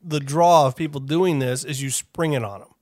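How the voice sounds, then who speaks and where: A man with a somewhat high-set voice plays host in a relaxed conversation. He reacts with jokes and exclamations. The recording is dry and free of room sound. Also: moderate pace, no echo. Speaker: interviewer, host. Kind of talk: casual chat.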